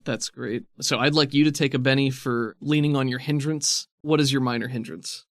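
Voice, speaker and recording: A man acts as game master for a tabletop game. His voice sits at an ordinary middle pitch. He describes scenes in a conversational way, and the sound is dry and close. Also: fairly even intonation, moderate pace, no room sound. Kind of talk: narrating a tabletop game, casual chat.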